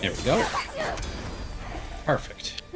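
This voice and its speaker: A man's voice: on the high side, huge pitch swings, extremely slow, very noisy. A man streaming a video game, giving casual live commentary and thinking aloud as he plays.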